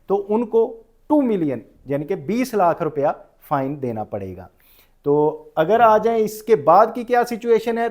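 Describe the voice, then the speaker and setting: A man's voice: sits high, a little mellow. A man with a Pakistani accent lecturing on rules as an instructor, explaining didactically and restating each point. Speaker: tax lecturer.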